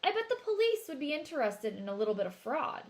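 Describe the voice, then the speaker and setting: A woman's voice: sits high, big pitch swings, fast, pitched above the performer's own voice. A woman reading the part of a bold, streetwise teenage brother, blunt and assertive as he haggles in a storybook. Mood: confrontational.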